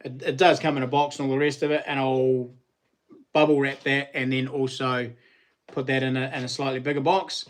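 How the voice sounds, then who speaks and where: A man's voice: medium pitch, little pitch movement, a little fast. A middle-aged man with a New Zealand accent, hosting a casual livestream and chatting with viewers in a rambling, slangy way with frequent laughs. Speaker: livestream host.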